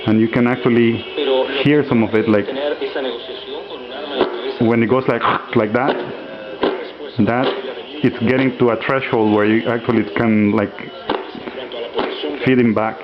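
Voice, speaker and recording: A man casually demonstrating a homemade radio at home, relaxed and hesitant, with many fillers. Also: mid-range voice, measured pace, pauses often, highs cut off, background noise. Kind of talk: casual show-and-tell demo.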